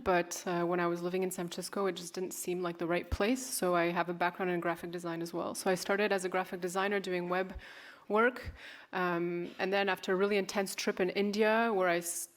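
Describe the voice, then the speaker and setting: A woman in her thirties, who grew up in California, presents her work as an artist and fashion designer in a festival talk, speaking unhurriedly and hesitantly with many fillers and restarts. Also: on the low side.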